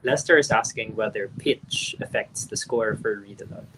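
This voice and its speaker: A man co-hosts a live webinar and relays questions from the audience. He sounds casual and animated and peppers his speech with "like". The stream carries a clear background hiss. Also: lowish voice, normal speed. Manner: animated, laughs often, says "like" a lot. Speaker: webinar co-host reading viewer questions.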